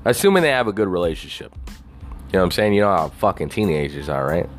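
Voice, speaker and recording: A man's voice: low voice, big pitch swings, fast talker, soft background music. A man on a casual, rambling rant, swearing constantly and leaning on "you know" fillers.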